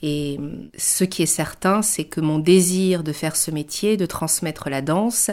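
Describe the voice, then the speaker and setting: A woman in her early thirties giving a reflective personal account, pausing often as she searches for her words. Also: low-pitched, darkish tone. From France. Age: early 30s. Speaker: dancer and choreographic artist. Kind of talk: reflective personal interview narration.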